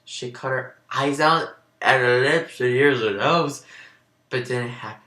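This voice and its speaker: A man's voice: medium pitch, a little slow, bright, forceful tone. A man giving an amateur dramatic reading of fan fiction, with sarcastic, sweary asides. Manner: sarcastic, sweary, often breaking into laughter. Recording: roomy, echoey home recording.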